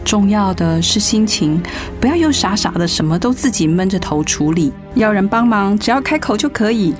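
Casting: actress as concerned female best friend, mid-pitched, Taiwanese Mandarin, radio play over clear background music. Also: expressive, normal speed, natural voice. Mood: worried, reassuring. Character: caring, concerned woman friend, gently admonishing. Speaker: voice actor in a radio drama. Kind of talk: dramatic radio play acting.

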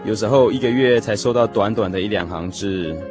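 An actor playing a lovesick young man, telling his lost first love in an expressive, emotional radio drama style. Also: on the low side, brisk, lightly acted. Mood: wistful longing. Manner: expressive, sighing, emotional storytelling. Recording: music playing behind the voice. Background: music.

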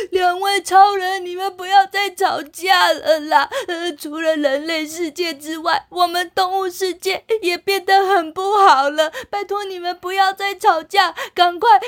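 A woman's voice: extremely high pitch, steady tone, measured pace, a bit bright, pitched above the performer's own voice. A woman voicing a little boy polar bear cub in a children's story, crying as he begs for help. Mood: tearful.

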